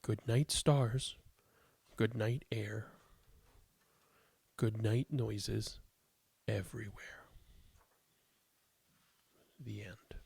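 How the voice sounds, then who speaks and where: A man with a gravelly voice, hosting and reading bedtime picture books aloud for young children. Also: lowish voice, fairly even intonation, extremely slow, pauses often, darkish tone, no room sound. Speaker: host of a children's read-aloud show. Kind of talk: casual chat and bedtime picture book read-aloud.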